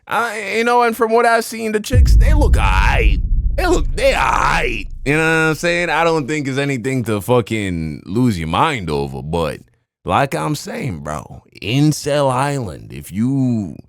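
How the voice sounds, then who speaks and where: A young man from Jamaica, co-hosting a reaction video in crude, loud, slangy casual chat that jumps into shouts. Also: mid-range voice, big pitch swings, a little slow, dry recording, studio quality, soft background music. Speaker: reaction co-host.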